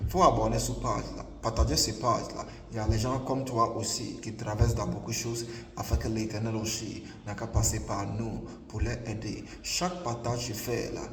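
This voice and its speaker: A man from Ghana with a Ghanaian accent and English as his first language, preaching an emphatic, repetitive sermon. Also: fairly low pitch. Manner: emphatic, repetitive, filler "là" everywhere. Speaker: prophet preacher. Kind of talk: online sermon. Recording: echoey hall, distant mic, faint ambience.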